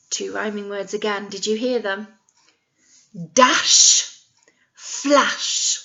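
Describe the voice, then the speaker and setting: A woman's voice: medium pitch, fairly even intonation, extremely slow, vocal fry, sharp tone. A British woman teacher reading a picture book to young children, with sing-song emphasis and frequent encouraging questions. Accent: British English. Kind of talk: interactive read-aloud lesson for young children.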